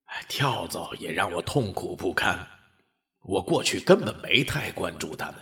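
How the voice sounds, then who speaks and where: An actor playing a friendly old dog, easygoing and eager, in a radio drama. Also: male voice, low voice, animated, moderate pace, fairly bright tone. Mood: warm, contented. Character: friendly old dog, a space explorer's loyal companion.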